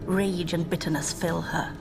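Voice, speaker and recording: Aloof, low-voiced servant spirit. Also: female voice, steady tone, creaky. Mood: formal, grave. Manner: formal, measured, stately old-fashioned phrasing. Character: aloof female otherworldly servant spirit, formal and sombre. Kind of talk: video game cutscene acting. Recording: music under the voice.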